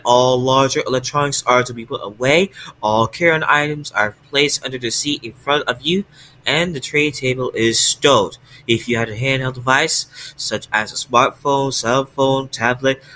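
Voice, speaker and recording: A text-to-speech man voices an airline cabin announcer. The tone is bright and sharp. The delivery stays stiff and mechanical throughout. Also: medium pitch, a little hiss or hum, close to an everyday voice, low-quality recording.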